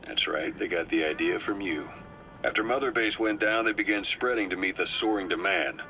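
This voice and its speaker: An actor playing a composed, worldly military intelligence operative, delivering a measured, matter-of-fact video game briefing. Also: male voice, deep voice, slight background noise. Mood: calm, explanatory. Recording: dull cassette-tape sound with music behind. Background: music.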